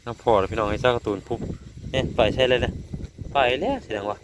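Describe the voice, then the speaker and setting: A man's voice: mid-range voice, fast talker. A man with an Isan accent chatting casually and folksily to his viewers as he vlogs outdoors at night. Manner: folksy, repeatedly addresses viewers. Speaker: vlogger hunting frogs at night. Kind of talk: casual vlog chat to viewers. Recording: outdoor recording with faint insect noise.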